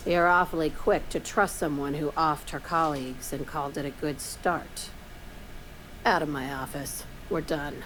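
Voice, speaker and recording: Low-voiced, curt woman boss. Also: fairly even intonation, a little slow, fairly bright tone, a little hiss or hum, low-quality recording. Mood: cold anger. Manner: dry, clipped, menacing. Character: curt, paranoid female boss, cold and sardonic. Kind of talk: video game voice acting.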